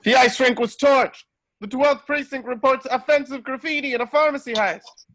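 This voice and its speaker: A man acting an excitable minor henchman in an amateur read of a comic-book film script. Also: extremely high pitch, moderate pace, a little hiss or hum. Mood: urgent.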